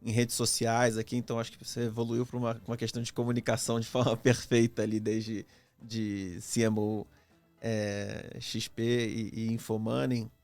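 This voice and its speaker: Halting Brazilian male podcast host. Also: medium pitch, level tone, darkish tone, faint music behind. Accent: Brazilian Portuguese. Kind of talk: casual interview chat.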